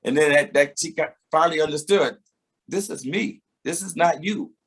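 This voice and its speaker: A man's voice: fairly high pitch, moderate pace, fairly bright tone, breathy edge. A middle-aged man, a singer, talking reflectively in a casual interview, often laughing and repeating words as he starts a thought.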